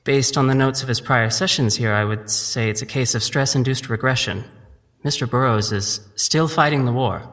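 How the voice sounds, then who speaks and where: Brief: male actor as an earnest, formal young doctor, even delivery, reverberant. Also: medium pitch, lightly acted. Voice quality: measured, polite, formal. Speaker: resident doctor.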